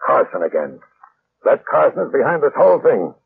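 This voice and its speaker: A man's voice: mid-range voice, very animated, brisk, vocal fry. A man playing a brusque, tough, assertive police detective in an old-time radio drama. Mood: stern. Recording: tinny, noisy old radio recording.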